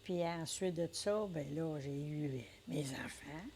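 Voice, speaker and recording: An older woman with a Québécois accent, telling her story in a casual interview, unhurried and hesitant, full of fillers. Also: deep voice, crowd noise. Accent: Québécois French. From Quebec. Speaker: interviewee, entrepreneur.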